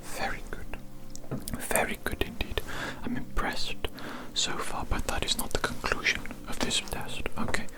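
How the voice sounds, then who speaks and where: A performer in an ASMR medical roleplay as a doctor, speaking in a soft unvoiced whisper with formal, repetitive clinical phrasing. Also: measured pace, rough recording. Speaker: ASMR performer playing a doctor giving a hearing test.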